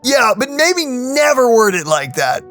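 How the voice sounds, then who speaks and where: A woman's voice: fairly high pitch, big pitch swings, unhurried, a bit bright, soft background music. A woman doing casual, slangy let's-play commentary, often mock-appalled. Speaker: gaming channel co-host.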